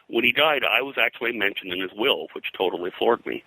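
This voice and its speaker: A man's voice: lowish voice, lively intonation, fast talker, sharp tone, slightly rough, dry recording, low-quality recording. An older man talking as an interview guest, reminiscing in conversational, detailed stories with digressions. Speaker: interview guest, pop culture historian and comics artist.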